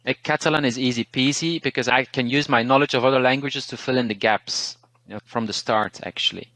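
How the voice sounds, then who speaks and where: A man from Belgium with a Belgian Dutch accent whose first language is Dutch, a language teacher talking through learning tips in a relaxed, thoughtful way, pausing and restarting words. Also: mid-range voice, no echo, poor audio. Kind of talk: interview, casual advice.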